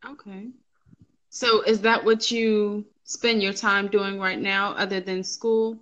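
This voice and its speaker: A woman hosts a casual podcast interview, asking upbeat and encouraging questions. Her tone is bright and forward at a middle pitch. The recording sounds dull and lo-fi. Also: level tone, slow pace.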